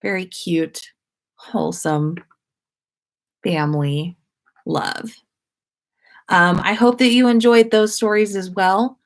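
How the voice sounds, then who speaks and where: A woman hosting a story-time livestream, speaking in an expressive, informal way as she reads and chats. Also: mid-range voice, slow, pauses often. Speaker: host of a fan fiction story-time livestream. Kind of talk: expressive story reading and casual livestream chat.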